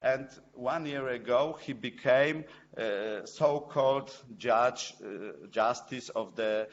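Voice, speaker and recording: A man's voice: medium pitch, takes it slowly, lo-fi sound, a little hiss or hum. Hesitant Polish man in panel talk. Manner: hesitant, many fillers and restarts, indignant. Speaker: judge on a panel. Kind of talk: panel discussion in English.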